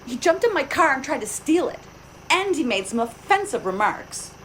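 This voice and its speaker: A woman's voice: sits high, big pitch swings, normal speed, fairly bright tone. A woman playing an indignant, prim and stubborn lady in an audio drama, with haughty, clipped politeness. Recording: noisy home-device recording.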